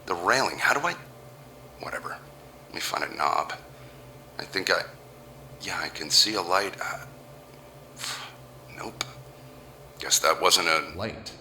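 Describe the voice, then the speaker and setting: Deep-voiced, unravelling businessman. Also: lively intonation, measured pace, long pauses, pushed and bright, a little hiss or hum, heavily acted. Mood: rattled, musing. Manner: rambling self-talk, sardonic, jittery. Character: powerful businessman, alone, nervous, self-mocking, slowly unravelling. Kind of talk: first-person audio horror monologue.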